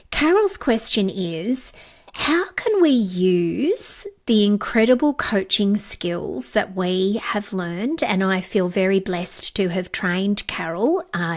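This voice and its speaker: Slow-talking woman with a head cold. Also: medium pitch. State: has a cold, a bit raspy and blocked up. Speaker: podcast host and coaching trainer. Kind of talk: solo podcast talk.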